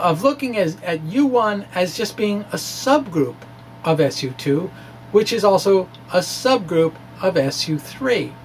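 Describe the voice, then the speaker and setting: A man presenting a physics lecture informally, with animated emphasis and a halting delivery full of stammered restarts. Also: sits high, a little hiss or hum. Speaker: amateur physicist presenting his own theory. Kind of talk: informal physics lecture, reading of a blog talk.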